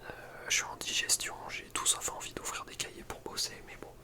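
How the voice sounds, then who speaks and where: A young man whispers softly and breathily in an informal, rambling ASMR chat. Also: brisk. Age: young adult. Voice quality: soft, breathy unvoiced whisper. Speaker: video creator. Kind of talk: whispered ASMR chat about personal routine.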